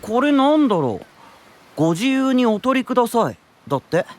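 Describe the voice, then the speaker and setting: A bright, easygoing young knight for an anime audio drama. He sounds high-pitched and very animated, chatting with open curiosity. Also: moderate pace. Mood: curious. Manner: casual, open, exclamatory.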